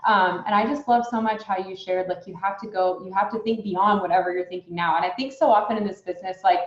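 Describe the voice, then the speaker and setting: A woman's voice: mid-range voice, fairly even intonation, fast talker, reverberant, muffled recording. Gushing woman host in her late twenties. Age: late 20s. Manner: gushing, effusive with praise. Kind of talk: online interview.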